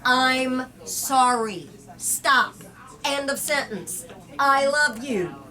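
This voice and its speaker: A woman voicing a belligerent, wounded drunk father in a storybook reading, pleading and quick to bellow. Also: high-pitched, animated, pushed and bright, pitched above the performer's own voice, exaggerated voice.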